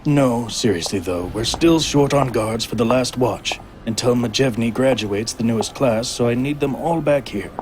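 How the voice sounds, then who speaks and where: An actor playing a genial, protective king in an audio drama, courteous and gently teasing. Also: mid-range voice, normal speed, few pauses, natural voice. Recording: noticeable background noise.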